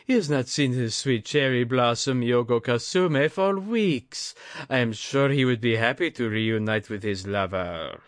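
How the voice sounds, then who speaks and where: A man's voice: medium pitch, a little slow, no room sound, clean studio sound, voice pushed up, lightly acted. A man voicing a jovial, drunken, teasing nobleman who exclaims and snorts in an audiobook. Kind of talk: audiobook character voice.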